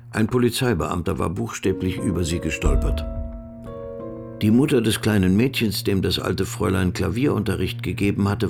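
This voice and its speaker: A man narrating a radio crime drama as a grave storyteller, measured and suspenseful. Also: sits low, vocal fry.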